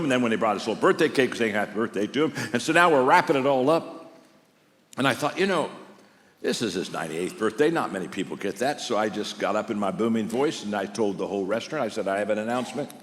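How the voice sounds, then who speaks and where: An older man preaching a sermon, telling stories in a warm, conversational way and often restarting his sentences. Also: fairly low pitch. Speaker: pastor. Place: large church auditorium. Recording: long reverb of a large hall.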